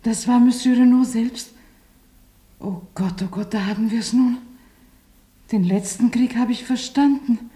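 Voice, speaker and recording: An actress playing an anxious, lamenting older French widow who is a refugee, in a German radio drama with roomy sound. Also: female voice, fairly high pitch, unhurried, long pauses, mellow, natural voice. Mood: alarmed, bewildered. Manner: fretful, lamenting.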